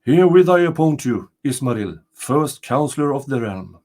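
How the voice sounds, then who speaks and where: A man's voice: medium pitch, big pitch swings, moderate pace, a little mellow, pitched above the performer's own voice, natural voice. A man voicing a proud, stern storybook king who questions and commands in a formal, imperious way. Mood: solemn, proud.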